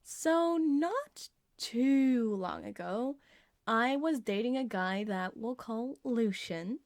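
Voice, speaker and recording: Female ASMR storyteller, casual and confessional, unhurried delivery with a light giggly touch, very dry sound. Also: a little high, expressive, no room sound.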